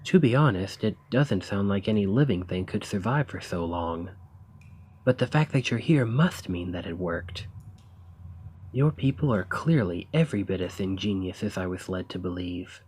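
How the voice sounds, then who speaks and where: A man playing an earnest, eager researcher of ancient civilizations in an ASMR roleplay, speaking in formal, gently sympathetic phrasing. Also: deep voice, expressive, normal speed, slightly rough. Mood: curious, fascinated. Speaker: audio roleplay performer. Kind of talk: ASMR roleplay, scripted character acting.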